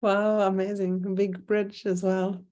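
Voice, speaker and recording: Brief: female seminar lecturer, dark mellow tone, halting and reflective, video call sound. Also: mid-range voice, flat delivery. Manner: thoughtful, halting with restarts. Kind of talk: online seminar talk.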